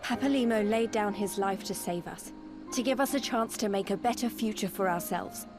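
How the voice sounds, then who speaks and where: A woman's voice: medium pitch, normal speed, over music, lightly acted. An actress playing an earnest, determined young woman fighter in a video game, speaking in a heartfelt way. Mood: resolute. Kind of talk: video game cutscene acting.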